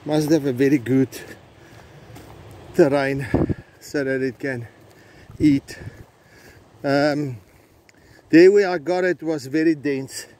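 Slow-talking South African man outdoors. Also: fairly high pitch, fairly even intonation, mellow. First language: Afrikaans. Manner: slow, halting, with many fillers and restarts. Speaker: vlogger host. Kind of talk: casual outdoor vlog narration. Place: outdoors on a coastal trail. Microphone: phone camera held by hand. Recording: faint outdoor noise.

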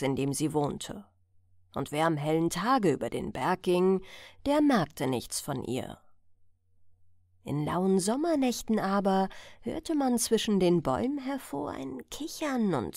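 Slow, expressive woman storyteller. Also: medium pitch, gravelly, no echo, studio quality. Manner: measured, storytelling delivery. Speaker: audiobook narrator. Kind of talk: scripted fairy-tale narration, bedtime storytelling.

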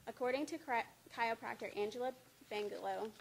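Higher-pitched young woman giving a speech. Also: fairly quick. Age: young adult. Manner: reads from notes, stumbling over words. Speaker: student speaker. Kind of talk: scripted informative speech read aloud. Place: indoor studio with green screen. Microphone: handheld-type mic on a stand at a lectern. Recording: clear background noise, room ambience.

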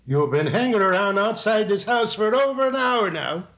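A man's voice: high-pitched, expressive, normal speed, a bit bright. A man playing a kindly, paternal policeman in an old-time radio sitcom. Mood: suspicious, amused.

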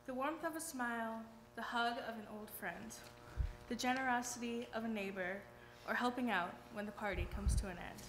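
A woman's voice: a little high, moderate pace, faint music behind. A young woman reading a rhyming poem aloud at a church lectern during a service. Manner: steady rhymed recitation. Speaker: youth reader. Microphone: lectern mic. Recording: echoey church room.

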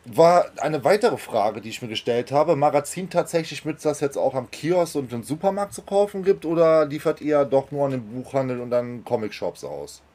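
A man acts as interviewer in an informal, conversational fan chat. His voice is mellow and relaxed, at a medium pitch. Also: normal speed, few pauses. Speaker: interviewer, comics video host.

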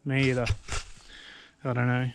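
A man's voice: mid-range voice, completely flat delivery, a little slow, creaky. Laid-back man on a windy ski slope. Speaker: vlogger. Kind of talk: casual vlog commentary while snowboarding. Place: outdoors on a snowy ski slope. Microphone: action camera held at arm's length. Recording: loud wind noise on the mic.

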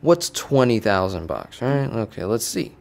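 A young man giving a relaxed whiteboard finance lesson from a home studio on a boom-arm mic, often checking in with "right?". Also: a little slow, gravelly. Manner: casual, conversational, keeps asking "right?". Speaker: finance educator.

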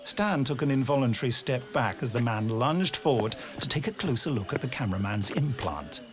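Man narrating an audio drama, animated. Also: mid-range voice, brisk, music in the background.

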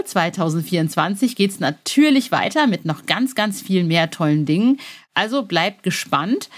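A woman presents a podcast with a medium-pitched voice. She is chatty and informal, full of enthusiasm, and talks straight to her listeners in loose, slangy phrases. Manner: enthusiastic, gushing. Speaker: podcast host.

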